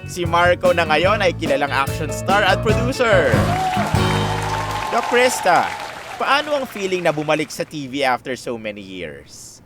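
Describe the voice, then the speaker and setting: A man's voice: on the high side, sing-song, unhurried. A man acting as a showy, inquisitive TV talk show host in a Filipino radio drama. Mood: showy, welcoming. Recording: music and crowd behind the voice.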